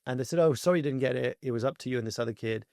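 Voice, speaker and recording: A man in his forties from France talks fast and tells his stories with lots of fillers. His voice is gravelly and he sounds close to a dry mic. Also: mid-range voice, no room sound. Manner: fast, animated storytelling with many fillers. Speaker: podcast guest. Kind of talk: casual interview chat.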